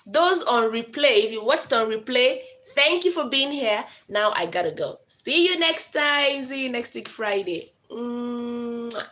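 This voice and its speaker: A woman's voice: on the high side, a bit bright. A Nigerian woman whose first language is Igbo, hosting a live stream and giving frank, chatty advice to her viewers. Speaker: host giving relationship advice. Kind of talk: casual live-stream talk.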